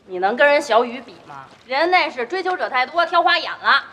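High-voiced teasing factory gossip. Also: female voice, animated, brisk, a little hiss or hum. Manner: chatty, blunt, teasing. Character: teasing, gossipy young woman factory worker. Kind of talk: screen comedy-drama acting. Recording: loud music behind the voice.

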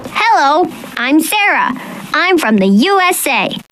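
A woman voicing a cheerful young schoolgirl in a scripted textbook dialogue, with a bright, lively delivery. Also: sits high, slow, a little hiss or hum, heavily acted, poor audio. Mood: friendly.

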